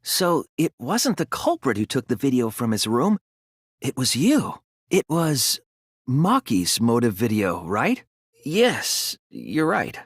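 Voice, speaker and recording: A man voicing an earnest, soft-spoken teenage detective in a video game, reasoning carefully through a case. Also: mid-range voice, wildly swooping pitch, slow, slightly rough, no room sound, clean studio sound, exaggerated voice. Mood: measured, certain. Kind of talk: video game voice acting, deductive exposition.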